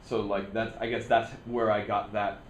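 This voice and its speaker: Hesitant young student, slight Texas twang. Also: male voice, fairly low pitch, level tone, normal speed, a little hiss or hum. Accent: light Texas. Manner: hesitant, lots of fillers. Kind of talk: classroom discussion. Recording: echoey classroom.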